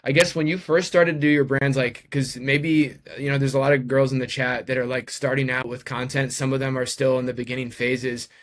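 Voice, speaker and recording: A man's voice: mid-range voice, little pitch movement, brisk, few pauses. Man casually interviewing a guest.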